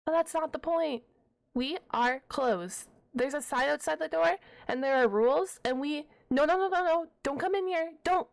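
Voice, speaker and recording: High-pitched, flustered half-dragon librarian played by a woman. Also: normal speed, vocal fry. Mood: stern, officious. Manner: stammering, bookish, wordy, awkwardly defensive. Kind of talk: ASMR roleplay audio drama.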